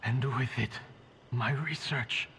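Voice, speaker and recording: A man's voice: mid-range voice, noisy background. An actor playing a dead male scholar's lingering mind in a video game, grave, formal and patronizing. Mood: solemn, beckoning. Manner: measured, formal, portentous. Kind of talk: solemn video game voice acting. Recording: long cavernous reverb, husky blurred voice.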